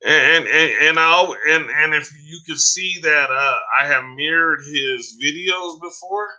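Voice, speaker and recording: Man, live-stream vlogger, bright tense voice, getting hoarse, rambling chatty talk. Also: on the high side, measured pace, highs cut off. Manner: loose and rambling, many fillers and restarts; addresses viewers by name, says "man" often. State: voice going hoarse. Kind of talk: casual live-stream chat reading the chat.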